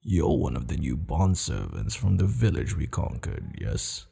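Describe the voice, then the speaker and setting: Man acting gravelly, slow-spoken proud barbarian warchief. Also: very deep voice, sing-song, warm tone, full character voice. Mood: teasing, stern. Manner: slow, measured, stern yet amused, formal phrasing. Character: proud, teasing, commanding male barbarian warchief of a clan. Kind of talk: ASMR roleplay.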